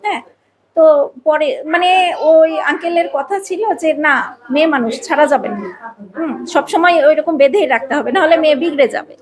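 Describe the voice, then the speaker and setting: A woman's voice: high voice, fairly quick, crowd noise. A woman talking casually and emphatically as a podcast guest, repeating phrases to make her point. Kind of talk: casual personal conversation.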